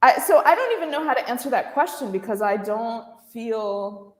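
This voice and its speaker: A woman artist in casual, reflective conversation, animated, quoting her own thoughts and searching for words, recorded in a reverberant room. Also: fairly high pitch, normal speed. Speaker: artist being interviewed. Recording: echoey room with long reverb.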